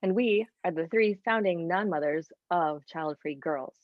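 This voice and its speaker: A woman's voice: lowish voice, measured pace. A woman co-hosting a podcast in casual, conversational panel chat, recorded with roomy, slightly muffled sound. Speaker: podcast co-host. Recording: roomy, slightly dull lo-fi audio.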